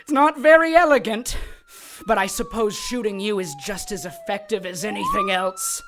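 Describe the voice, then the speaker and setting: A woman's voice: on the high side, wildly swooping pitch, moderate pace, very noisy. A woman playing a gloating, sadistic villain in an audio drama, taunting theatrically and savoring each word. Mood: menacing. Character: gloating sadistic male villain with magic staff.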